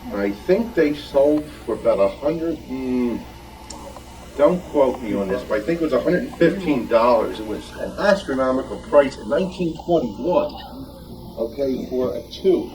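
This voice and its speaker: A man explaining informally as an enthusiast, haltingly, often checking in with an "okay". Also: medium pitch, animated, a little mellow. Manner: enthusiastic, hesitant, tags statements with "okay". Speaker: club member, vacuum tube enthusiast. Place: club meeting room. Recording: distant mic, faint room noise.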